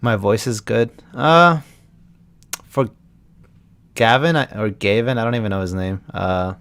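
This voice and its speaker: A man's voice: fairly low pitch, a little slow, fairly bright tone, clean tone. A young man from Texas, a voice actor chatting casually with viewers on a live stream and answering their questions. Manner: chats loosely, answering viewers' questions as they come.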